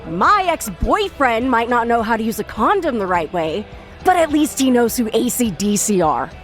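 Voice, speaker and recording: A woman's voice: on the high side, big pitch swings, normal speed, soft background music. An actress playing a scornful, foul-mouthed young metalhead woman in an audio drama, biting and sarcastic. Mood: disgusted.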